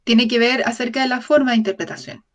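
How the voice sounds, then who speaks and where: A woman with a somewhat high-pitched voice delivers a lecture for an open talk series. She moves through it in a measured way, often breaking off to correct a word and tossing out tag questions to the audience.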